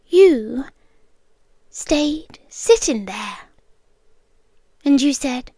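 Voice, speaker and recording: Slow, whispery British immortal stalker girlfriend, acted by a woman. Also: high-pitched, no room sound, clean studio sound, heavily acted. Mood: tender, wistful. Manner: soft, deliberate, often dropping into whispers. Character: immortal cosmic female yandere stalker, obsessively patient, tender, possessive. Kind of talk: intimate ASMR girlfriend roleplay. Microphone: close microphone.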